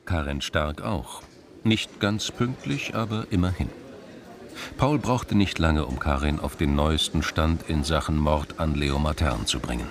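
A male actor narrating a crime radio drama in a measured, terse, dry and observant way. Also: a bass voice, crowd noise. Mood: dry, laconic.

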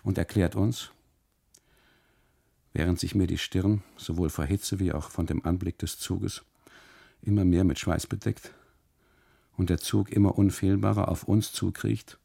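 Deep, gravelly man narrating a dramatic monologue. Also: fairly even intonation, unhurried, long pauses, dark tone. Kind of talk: dramatic first-person literary monologue, radio play.

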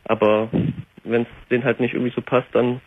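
An eighteen-year-old man phones in to a late-night talk show and speaks hesitantly, stopping often on filler sounds. His voice is mid-pitched and somewhat husky. It comes through a thin, tinny phone line. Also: little pitch movement, a little fast. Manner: hesitant, many "ähm"s. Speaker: caller.

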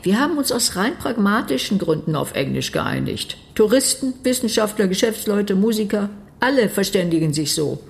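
An actor playing a sarcastic, brisk official, in a radio play. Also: male voice, sits high, sing-song, gravelly, heavily acted.

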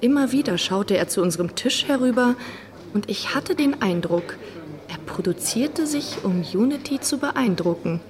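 An actress playing an English female newspaper correspondent, measured and observant, recounting events in a German audio docudrama. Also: a little high, expressive, a crowd in the background, lightly acted. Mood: wondering. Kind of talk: German audio docudrama, first-person recollection.

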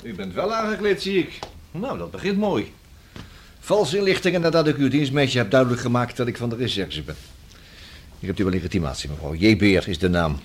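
An actor playing a persistent, ironic police detective in a radio play, speaking formally and unflappably. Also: male voice, mid-range voice, lively intonation, a little fast, slightly rough. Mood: dry, businesslike.

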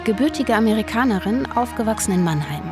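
A woman's voice: a little high, lively intonation, fast talker, over music. A woman reading short, clipped scripted introductions in a radio documentary. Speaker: announcer introducing interviewees.

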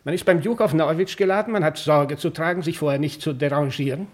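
Actor as a pompous, high-pitched rich gentleman. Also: fast talker, close to an everyday voice. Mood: haughty, impatient. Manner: pompous, clipped, declamatory. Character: pompous, self-important rich gentleman, impatient with inferiors. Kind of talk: 1950s German radio drama acting.

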